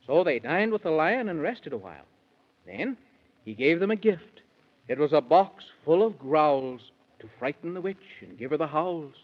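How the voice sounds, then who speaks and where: Genial storyteller, rhymed verse, children's 1930s radio, lo-fi muffled recording. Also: male voice, on the high side, expressive, moderate pace. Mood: storytelling. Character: genial rhyming storybook narrator. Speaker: storyteller narrator.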